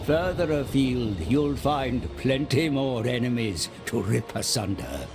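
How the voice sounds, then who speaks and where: A man playing a sly, servile seer who guides demonic warlords, speaking in a theatrical, gravelly voice as dramatic dark fantasy game narration. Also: medium pitch. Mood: ominous, urging slaughter. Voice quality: creaky, gravelly. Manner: theatrical, deliberate, sly and flattering. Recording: music under the voice. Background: dramatic music.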